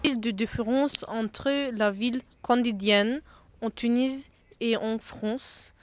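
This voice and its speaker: A woman interviewing her young cousin, reading prepared questions in a slow, halting way and sometimes restarting words. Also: on the high side, steady tone, slight background noise. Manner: slow, halting delivery with restarts mid-word.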